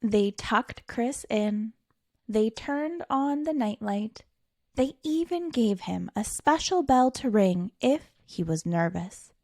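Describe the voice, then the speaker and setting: A woman reading a children's picture book aloud as a storytime reader, theatrical, with dramatic pauses and stretched words for emphasis. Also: fairly high pitch, expressive, warm tone, dry recording, clean studio sound.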